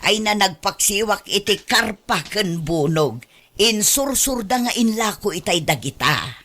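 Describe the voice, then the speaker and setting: A woman's voice: medium pitch, animated, a little slow, fairly bright tone. A woman playing a fussy, complaining middle-aged mother-in-law in a radio drama, with an Ilocano accent. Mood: weary, guarded. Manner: complaining, emphatic. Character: exasperated, doting older mother-in-law who does all chores.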